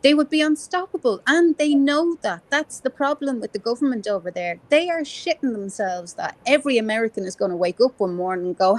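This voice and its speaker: Impassioned woman in her fifties. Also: fairly high pitch. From Ireland. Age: 50s. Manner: impassioned, earthy, swears freely. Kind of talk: casual political chat.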